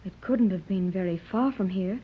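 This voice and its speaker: A woman's voice: medium pitch, normal speed, breathy edge, close to an everyday voice. An actress playing an anxious, hopeful middle-aged mother in a 1930s radio serial, earnest and pleading. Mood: hopeful, insistent. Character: anxious, hopeful wealthy mother searching for lost daughter. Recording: dull lo-fi 1930s radio recording.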